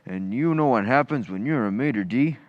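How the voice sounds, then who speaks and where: A man's voice: medium pitch, lively intonation, normal speed, no echo, muffled recording, lightly acted. A man playing a dim, good-natured teenage busboy in radio comedy, with earnest, folksy, ungrammatical speech. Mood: naively proud. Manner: folksy, ungrammatical, earnest gee-whiz delivery. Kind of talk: old-time radio comedy acting.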